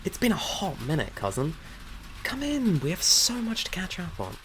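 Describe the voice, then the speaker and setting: A man's voice: high-pitched, wildly swooping pitch, moderate pace, a bit bright, slight background noise, exaggerated voice. A man acting a cocky, flippant monster hunter in an audio drama, breezy and self-justifying as he talks. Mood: teasing, smug. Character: cocky, flippant male monster hunter, chain-smoking runaway groom.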